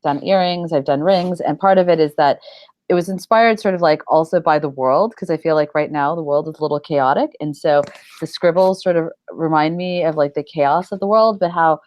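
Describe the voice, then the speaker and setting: Low-pitched middle-aged woman, chatty interviewee. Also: fairly quick, darkish tone, no room sound. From United States. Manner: rambling, informal, frequent "like" and "you know". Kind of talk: casual interview chat.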